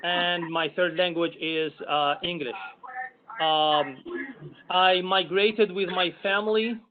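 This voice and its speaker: A man from Algeria whose first language is Arabic, an imam introducing himself at a public meeting in measured speech with many pauses. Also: high voice, steady tone, slight background noise. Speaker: imam and commission member. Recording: tinny phone-like call audio.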